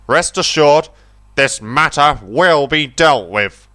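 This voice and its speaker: A man's voice: a little high, expressive, slow pace, sharp tone, no echo, voice pushed up, exaggerated voice. A man voicing a stern, fair-minded male railway boss in a children's story, speaking formally and emphatically. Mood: grave, formal. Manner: formal, emphatic speech-making.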